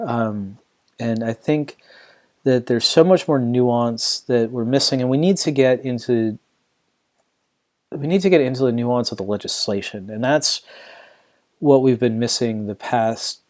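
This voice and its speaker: A man talking on his own podcast, thinking aloud as he goes. He circles back and restarts his sentences, leaning on "you know" as he feels his way toward each point. His voice sits at an ordinary middle pitch for a man. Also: darkish tone. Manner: rambling, many restarts and "you know" fillers. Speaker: podcast host. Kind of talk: casual solo podcast commentary.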